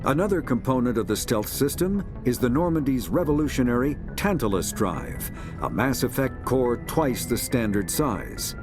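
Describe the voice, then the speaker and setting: A man narrating a science fiction game's encyclopedia in a measured, formal, authoritative documentary style. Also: medium pitch, animated, lightly acted. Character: formal male narrator of a sci-fi codex. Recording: music behind the voice. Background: clear background music.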